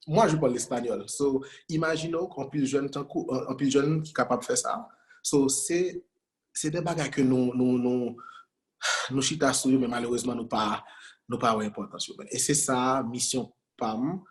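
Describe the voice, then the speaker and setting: A man's voice: mid-range voice, steady tone, moderate pace. A man, a guest in an interview, talking in a conversational way, often stumbling over and repeating words as he searches for what to say and mixing Creole with French. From Haiti. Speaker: guest entrepreneur.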